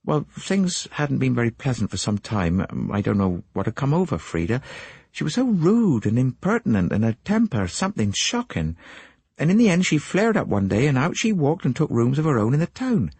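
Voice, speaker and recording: A man voicing an anxious, fretful middle-aged wife in an audiobook, gossipy and quick to take offence. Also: mid-range voice, huge pitch swings, a little mellow, slightly rough, dry recording, pitched above the performer's own voice, full character voice. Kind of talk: audiobook character voice.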